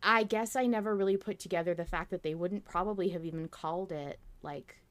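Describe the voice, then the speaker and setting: A woman's voice: fairly low pitch, a little fast. A woman hosting a podcast in casual conversation, candid and informal, thinking aloud and often rephrasing herself. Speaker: podcast host.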